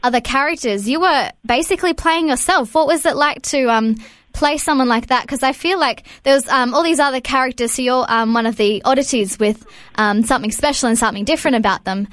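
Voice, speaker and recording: A young woman in her twenties co-hosts an interview and asks long, rambling questions full of ums. Her voice sits a little high. The sound is close and dry, with no room echo. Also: brisk. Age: 20s. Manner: chatty, long questions with many "um" fillers. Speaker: radio co-host. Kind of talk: casual interview chat.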